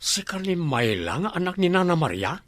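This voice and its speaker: A young Ilocano man whose voice sits a little high for a man. He comes across teasing and quizzical, and the recording is dry and close. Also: big pitch swings, normal speed, a bit bright, dry recording. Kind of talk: comedy drama acting.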